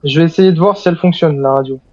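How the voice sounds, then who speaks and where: A man's voice: a little high, very fast, a little mellow, no echo, muffled recording. A man talking in character as the last survivor of a military squad, with mock-formal turns, in a game roleplay. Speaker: player in a zombie survival roleplay. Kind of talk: in-character gaming roleplay chat.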